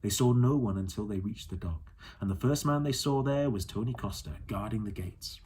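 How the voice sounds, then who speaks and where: A man's voice: lowish voice, normal speed, mellow, slight background noise. A man reading a storybook aloud as an audiobook narrator. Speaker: audiobook reader.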